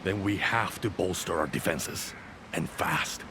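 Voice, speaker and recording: A man's voice: deep voice, unhurried. A man playing a blunt, decisive former samurai general who gives orders in a video game cutscene. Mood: urgent, commanding. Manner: blunt, decisive military delivery. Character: gruff male former samurai general, commanding and urgent. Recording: clear noise and ambience behind.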